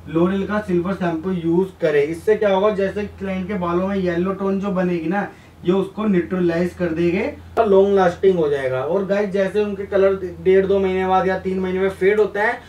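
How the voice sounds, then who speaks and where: A man's voice: high-pitched, darkish tone. A young man giving an informal, instructive tutorial, addressing viewers directly and mixing in English terms. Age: young adult. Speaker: hair colour instructor and video host. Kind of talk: informal tutorial explanation at a whiteboard. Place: small indoor room beside a whiteboard. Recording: roomy echo, distant mic, background noise.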